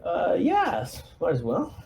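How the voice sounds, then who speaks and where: A man's voice: high-pitched, huge pitch swings, slow, creaky, crowd noise. A man chatting casually as a player in a tabletop roleplaying game.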